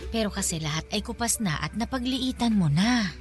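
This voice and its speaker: A woman's voice: medium pitch, animated, normal speed, soft background music, close to an everyday voice. A woman playing a loving, insistent middle-aged mother with a Filipino accent, coaxing her child in a radio drama. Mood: fond, insistent.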